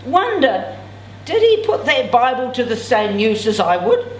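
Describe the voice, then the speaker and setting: A woman's voice: fairly high pitch, very animated, measured pace. A woman in her sixties reading a novel aloud expressively, with a theatrical, declamatory touch to the dialogue. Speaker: amateur reader at a literary event. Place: indoors, in a function room. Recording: roomy, distant mic, clear background noise.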